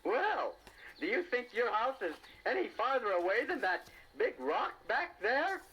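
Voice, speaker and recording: A man's voice: very high-pitched, big pitch swings, measured pace, bright, forceful tone, a little hiss or hum, full character voice, poor audio. A man voicing a chatty, easygoing snail in a children's audio storybook, jaunty and matter-of-fact. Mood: easygoing, curious.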